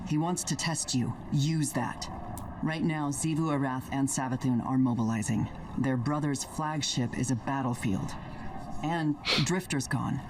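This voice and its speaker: An actor playing a grave, resolute commander giving a measured briefing in a game cutscene. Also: male voice, medium pitch, slight background noise, lightly acted. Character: steady military commander man, grave and resolute. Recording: distant, with clear game ambience.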